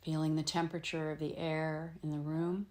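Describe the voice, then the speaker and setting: A woman teaching yoga nidra, leading a guided meditation in gentle, soothing phrases with frequent pauses. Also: deep voice, level tone. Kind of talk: guided meditation, slow instruction with long pauses.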